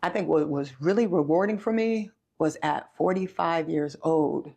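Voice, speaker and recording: A woman's voice: deep voice, moderate pace, vocal fry, darkish tone. A woman of sixty telling her story conversationally as an interview guest, earnest and repeating phrases for emphasis. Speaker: chief executive, podcast guest.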